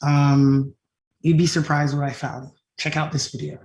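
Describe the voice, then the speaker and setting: Slightly high-voiced man giving a roomy talk. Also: flat delivery, a little slow, gravelly, a little mellow. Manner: ends points with "right?" tag questions. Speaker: tech company founder presenting. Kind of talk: conference talk. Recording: roomy echo.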